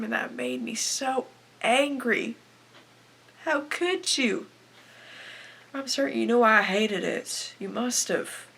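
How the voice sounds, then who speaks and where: A teenage girl playing a desperate, bitter young farm wife in a confessional dramatic monologue. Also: fairly high pitch. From Canada. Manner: confessional, anguished, swinging from hushed to bursting. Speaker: aspiring actress performing a film monologue.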